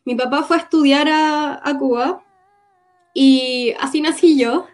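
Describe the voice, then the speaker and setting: A young woman from Gaza giving an earnest, reflective personal testimony in an interview, often pausing to recall. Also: sits high. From Gaza, Palestine.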